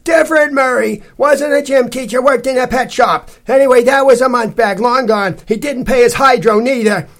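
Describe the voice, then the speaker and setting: A man reading a story, voicing a loud, curt, suspicious stout lady who dismisses her visitors. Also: very high-pitched, normal speed, hardly any pauses, voice pushed up. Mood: irritated, brusque. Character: brusque, stout, suspicious lady landlord in curlers.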